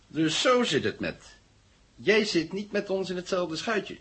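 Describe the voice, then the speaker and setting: A man's voice: high-pitched, sing-song, measured pace, a bit bright, full character voice. An actor playing a menacing, sarcastic male gunman who gives commands in a 1970 radio play. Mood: cold menace. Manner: commanding, sardonic. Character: John, menacing, sarcastic gunman giving orders. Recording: noisy old radio drama recording.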